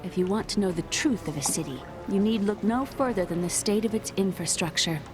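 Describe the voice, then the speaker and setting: A woman's voice: medium pitch, brisk, over music, close to an everyday voice. An actress playing a studious, earnest young woman in a video game. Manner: thoughtful, earnest.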